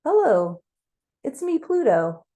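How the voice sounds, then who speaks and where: A woman's voice: sits high, very animated, slow pace, mellow, voice pushed up, heavily acted. A woman voicing a small male planet spirit in a story, affable, casual and a little rambling. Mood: cheerful, easygoing.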